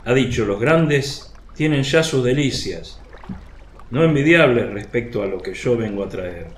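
An actor playing a mature, thoughtful fisherman in a radio drama, calm, measured and earnest. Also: mid-range voice, close to an everyday voice. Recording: roomy, faint noise, water ambience.

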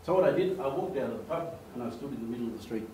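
An older man from Australia, giving an animated storytelling talk at a lectern in a meeting room. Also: medium pitch, racing, slight background noise. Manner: animated, gestures, acts out quoted voices. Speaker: speaker giving a slide talk. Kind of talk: storytelling presentation. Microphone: far camera. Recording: echoey room, faint ambience.

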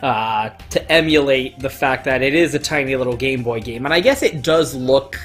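A man's voice: mid-range voice, normal speed, faint music behind. A man giving casual, opinionated gameplay commentary, swearing freely and stressing his points. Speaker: let's play host.

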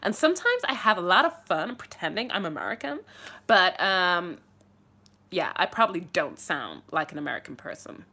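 A young woman chatting casually to viewers on a live stream from her bedroom, bubbly and animated, with her phone held at arm's length. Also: fairly low pitch, moderate pace. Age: young adult. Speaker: livestream host answering viewer questions. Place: small bedroom indoors.

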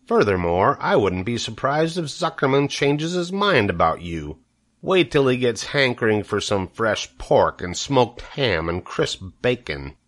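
A man voicing a gloating, greedy male rat with a husky, slow drawl. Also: mid-range voice, very animated, a bit bright, pitched above the performer's own voice. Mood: sneering.

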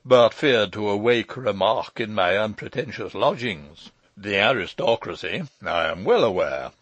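Pompous old gentleman, played by a male reader: fussy, pedantic, aggrieved, lively pitch swings, dry close sound. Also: measured pace, no echo, lo-fi sound. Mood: grave, fussy. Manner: pedantic, formal, long-winded, hesitating with ums.

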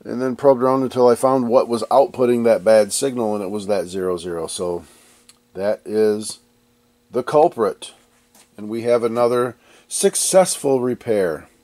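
A man with a stuffed-up, congested voice talks through an arcade board repair in his cluttered electronics workshop. His speech is relaxed and rambling, with restarts mid-sentence. The sound is dry and close, with a mid-range pitch. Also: moderate pace, no room sound. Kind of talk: casual workshop vlog narration.

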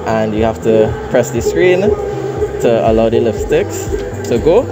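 Young guy explaining arcade games real patient, voice bouncing around a lot, loud music in the mall. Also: medium pitch, expressive. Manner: measured, patient, with fillers and easy laughs. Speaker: guest demonstrating games. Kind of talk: casual explanation. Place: indoor shopping mall arcade.

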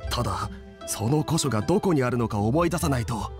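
An actor playing a friendly young male inn cook in an anime game, with rough, casual, hearty speech. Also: medium pitch, lively intonation, a little fast, over music, natural voice. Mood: helpful.

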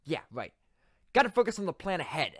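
A woman voicing a cocky, self-conscious young male unicorn squire in an animated web series, with a wry, drawling manner. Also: fairly low pitch, fairly quick, slight background noise. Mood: flustered, brooding.

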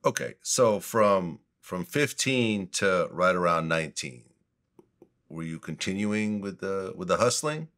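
Low-voiced interview host. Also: male voice, lively intonation, measured pace, a bit bright. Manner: direct, leading questions. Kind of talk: interview questions.

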